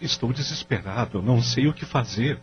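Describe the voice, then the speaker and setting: An actor playing a distressed husband and father who talks in an anxious, hushed, pleading way in an audio drama. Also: mid-range voice, normal speed, a little hiss or hum, close to an everyday voice. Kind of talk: radio drama acting. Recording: muffled lo-fi audio with music.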